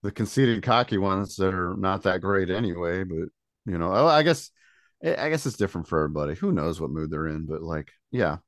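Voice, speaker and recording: A man's voice: low-pitched, lively intonation, fairly quick, no echo, clean studio sound. An American man hosting a podcast, chatting in a casual, rambling, informal way. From Indiana, United States. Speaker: podcast host. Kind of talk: casual chat.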